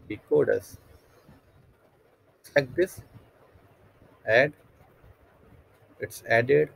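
A man walks through a screen-recorded software tutorial, speaking step by step and often restarting his sentences. His voice has a gravelly edge at a mid pitch. A faint hiss sits under the recording. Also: rough recording. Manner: thinking aloud, stepwise, with frequent fillers and restarts. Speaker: tutorial instructor.

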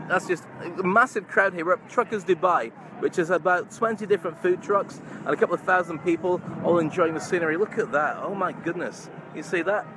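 Clear outdoor noise surrounds a middle-aged man with a somewhat high voice. He talks in a chummy, effusive way, as in a casual live stream. The phone is at arm's length in an open, busy park. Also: fairly bright tone. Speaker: vlogger, entrepreneur and stage hypnotist. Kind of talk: casual chatty live-stream vlog to followers. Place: large open park outdoors among crowds. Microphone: phone held at arm's length. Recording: noisy outdoor night crowd ambience.

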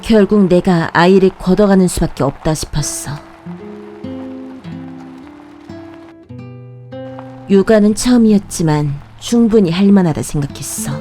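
A woman playing a brooding witch, narrating a dark fairy tale in a measured, ominous, matter-of-fact manner. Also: mid-range voice, faint music behind, lightly acted. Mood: regretful. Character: brooding witch who raises a child in hiding. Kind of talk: scripted first-person horror fairy-tale narration.

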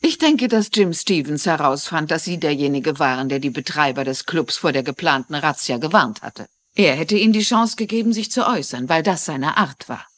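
An actress playing a shrewd, courteous mystery writer in a dubbed TV drama, articulate and measured. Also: female voice, deep voice, lively intonation, no room sound. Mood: firm, accusing. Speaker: actress in a German dub.

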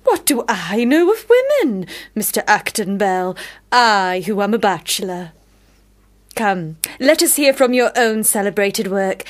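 A teasing, commanding eldest sister in a period radio play. Her voice sits at a middle pitch. She speaks with arch formality, as if playing at being a gentleman author. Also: big pitch swings, unhurried. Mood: mock-formal. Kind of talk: period radio drama acting.

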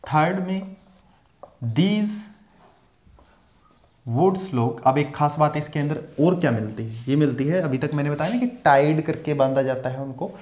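A young man teaching a zoology class at a whiteboard, explaining slowly and repeating key terms as he writes. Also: on the high side, a little mellow. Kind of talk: whiteboard lecture mixing Hindi and English. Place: small room with a whiteboard. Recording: echoey room.

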